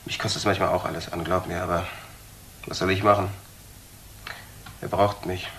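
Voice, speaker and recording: Bitter nephew, roomy noisy recording. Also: male voice, sits low, flat delivery, fairly bright tone. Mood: bitter, subdued. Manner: reproachful, sardonic, pointed pauses. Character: bitter, taunting young nephew of an unloved aunt. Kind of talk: German radio crime drama acting. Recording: roomy, clearly noisy old audio.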